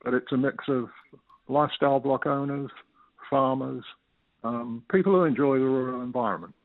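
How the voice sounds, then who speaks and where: A man's voice: mid-range voice, normal speed, no room sound. An Englishman in his sixties, a retired resident, explaining things steadily as a guest over the phone with a few fillers. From United Kingdom. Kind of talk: phone interview. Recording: tinny phone line.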